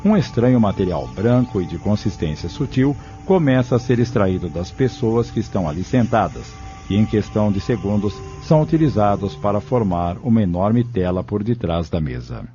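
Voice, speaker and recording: A male narrator reads a scripted audio drama in a low, mellow voice. The recording is a little dull, with faint music behind him. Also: sing-song, moderate pace, few pauses.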